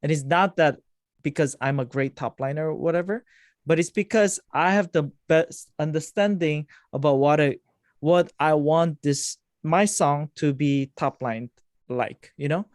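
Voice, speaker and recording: A man's voice: a little high, measured pace, no echo. Man teaching music production on a call, dry sound. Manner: explains with many likes and restarts. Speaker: music producer hosting a masterclass. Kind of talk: teaching over a video call. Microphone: video call.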